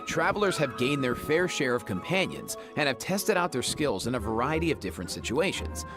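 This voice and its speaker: A man co-hosting a video game news program, casual and upbeat, mixing scripted updates with banter. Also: a little high. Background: background music.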